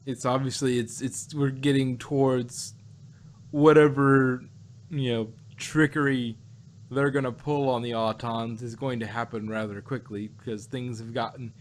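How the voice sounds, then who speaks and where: A man's voice: medium pitch, fairly even intonation, measured pace. A man in a casual podcast discussion, hosting and rambling as he repeats and rephrases his points. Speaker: podcast host. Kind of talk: casual review chat about sci-fi shows.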